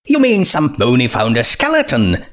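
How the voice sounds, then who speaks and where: A man's voice: medium pitch, wildly swooping pitch, normal speed, a bit bright. A man voicing a bookish young pony princess with a dry, incredulous, dismissive manner, in a storybook reading. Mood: baffled.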